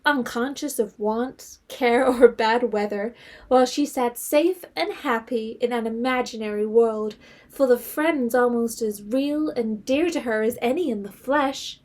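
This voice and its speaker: A woman narrating an audio drama as a composed literary storyteller, in a measured manner. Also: a little high. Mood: composed storytelling.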